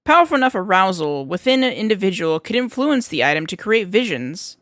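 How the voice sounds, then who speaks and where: A woman voicing an enthusiastic, talkative male research scientist in an audiobook, explaining things in an animated, chatty way. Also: medium pitch, brisk, dry recording, clean studio sound. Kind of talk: fan fiction audiobook character reading.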